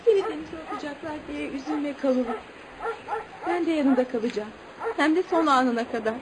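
An actress playing a devoted, steadfast young girl in love, gentle and earnest, in a radio play. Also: high-pitched, brisk, over a noisy crowd, lightly acted. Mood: determined. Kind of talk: radio theatre acting. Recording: dull, faintly noisy radio recording.

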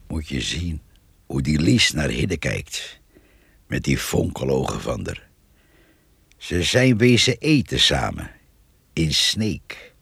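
Radio drama countryman: very low, husky, slow-musing gossip who savours his local tales. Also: very animated, fairly bright tone, no echo, exaggerated voice. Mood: sly gossiping. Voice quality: gravelly, husky.

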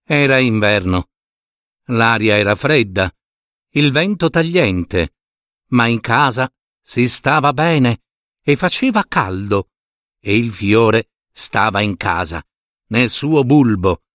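A man narrating a fairy tale as a storyteller, in theatrical, deliberate phrases. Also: medium pitch, sing-song, a bit bright, no echo, clean studio sound.

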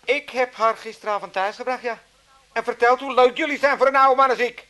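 Cheeky, high-voiced old Amsterdam rascal. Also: male voice, steady tone, brisk, bright, forceful tone. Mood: smug. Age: older. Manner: brash, chuckling, teasing. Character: cheeky, scheming old Amsterdam father, ladies' man. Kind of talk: 1980s comedy radio play acting.

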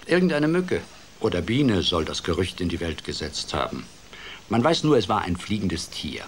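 An actor playing a playful storyteller who tells a children's fairy tale in an expressive, theatrical way for a radio drama. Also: male voice, deep voice, moderate pace, slight background noise. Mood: lively.

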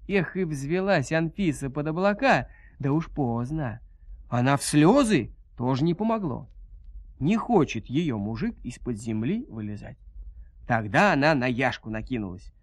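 A man's voice: mid-range voice, big pitch swings, measured pace, no room sound, studio quality. A man narrating a folk tale as a scripted audio story, in a theatrical, folksy and dramatic manner.

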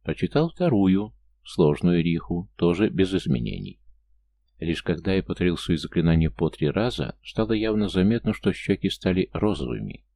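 A man's voice: low-pitched, lively intonation, moderate pace, gravelly, dark tone, dry recording. A man reading a first-person fantasy story as a scripted audiobook narration.

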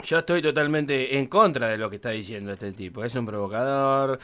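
A man's voice: medium pitch, a little fast, a bit bright, lightly acted. An Argentine man playing a flustered, blustering party politician in a satirical radio play, stammering and repeating himself emphatically. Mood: indignant.

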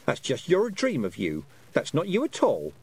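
A man's voice: on the high side, wildly swooping pitch, a little slow, slightly rough, voice pushed up, full character voice. A man voicing a stubborn young girl in a storybook reading, blunt, accusing and insistent. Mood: defiant. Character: stubborn, defiant young girl witch Tiffany. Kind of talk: audiobook character voice.

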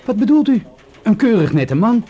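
A man's voice: high voice, very animated, normal speed, lo-fi sound. A man with a Netherlands Dutch accent playing a naive, deferential young police rookie in a radio play, hesitant and full of questions. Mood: puzzled, eager.

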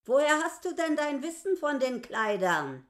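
A woman's voice: high voice, very animated, measured pace. An actress playing a haughty, suspicious inn landlady in a literary radio drama, talking in an imperious, probing, mocking way. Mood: stern, commanding.

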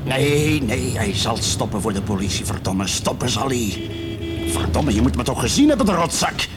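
A man's voice: mid-range voice, very animated, normal speed, natural voice. A man acting in a radio play as a cursing, dogged patrol policeman talking to himself at the wheel. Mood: excited, determined. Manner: exclamatory, grumbling. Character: cursing, dogged male patrol cop muttering alone while driving. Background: loud music and car sounds.

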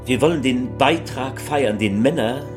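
An actor playing a grave, solemnly persuasive politician who speaks in deliberate, declamatory phrases in a radio collage. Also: male voice, medium pitch, over music, lightly acted. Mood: celebratory, solemn. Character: male politician orator, grave, self-justifying, solemnly persuasive.

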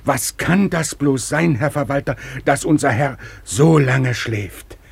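Raspy, deferential estate steward. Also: male voice, medium pitch, slow, no room sound, exaggerated voice. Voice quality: hoarse, gravelly. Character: deferential male estate steward, earnest and anxious. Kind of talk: 1950s German radio drama acting.

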